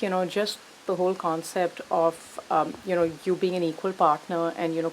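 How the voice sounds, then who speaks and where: Woman therapist, thoughtful, lower voice. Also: slight background noise, low-quality recording. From India. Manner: thoughtful, many "you know" fillers. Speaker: narrative therapist. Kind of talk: reflective conversation.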